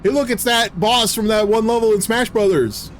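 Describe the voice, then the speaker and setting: A man in his thirties, a game streamer giving casual, excitable, jokey commentary while playing and laughing often. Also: high-pitched, moderate pace, slight background noise. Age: 30s. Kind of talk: casual live gameplay commentary.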